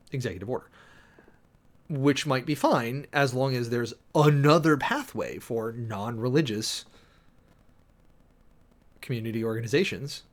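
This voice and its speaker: A man livestreaming a dramatic reading with close commentary, theatrical and emphatic, stretching words out and breaking into wry asides. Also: mid-range voice. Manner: theatrical, emphatic, with drawn-out words and sudden swells. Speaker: livestream host, preacher.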